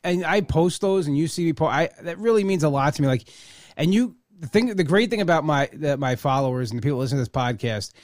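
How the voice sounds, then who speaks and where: A man's voice: on the high side, animated, fast, a little mellow, no room sound, studio quality. A man of forty hosting a podcast, talking casually and rambling with many restarts. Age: 40s. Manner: rambling, restarts often, says like a lot. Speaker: podcast host.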